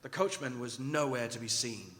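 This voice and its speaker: A man acting as an earnest, uneasy young gentleman traveller, narrating his journal in a formal, dramatic radio-theatre style. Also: mid-range voice, normal speed, lightly acted. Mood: mounting dread. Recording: very noisy, echoing live hall recording.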